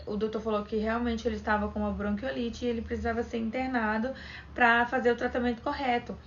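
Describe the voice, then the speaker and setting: A young woman from Brazil chats to her camera as a vlogger, her voice sitting a little high. She retells events in a chatty, conversational way. The sound is dull and lo-fi with a faint hiss behind her. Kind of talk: casual chat to camera.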